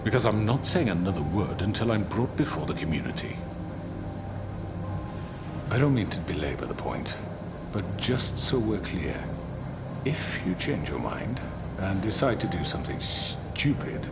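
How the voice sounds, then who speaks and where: An actor playing a calculating crime boss who poses as a respectable businessman, speaking in measured, formal, condescending phrases for a noir thriller game. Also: low voice, big pitch swings, heavily acted. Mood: smooth, insistent. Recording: music behind the voice.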